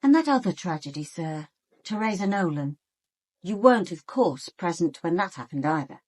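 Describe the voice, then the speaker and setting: An actress playing a sharp-minded British police detective in a radio drama, with controlled, deliberate and pointed delivery. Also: female voice, lowish voice, expressive. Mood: pointed, probing. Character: sharp-minded, ambitious female police detective questioning suspects. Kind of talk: radio drama acting with first-person narration.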